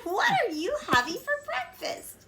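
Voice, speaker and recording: A woman voicing an excitable old grandmother with a shrill voice in a storybook reading. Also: unhurried, heavily acted. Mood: sweet.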